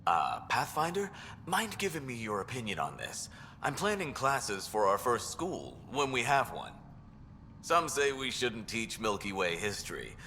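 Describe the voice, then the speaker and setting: A bright, unhurried voice with lively pitch: a male colonist teacher in a sci-fi game. He is hesitant and wry, in a long reverb over ambient noise. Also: heavily acted. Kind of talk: video game dialogue.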